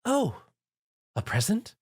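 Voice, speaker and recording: Shy soft little pegasus girl, high and meek, dude voicing her. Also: huge pitch swings, takes it slowly, husky, full character voice. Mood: timid. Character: timid gentle female pegasus pony.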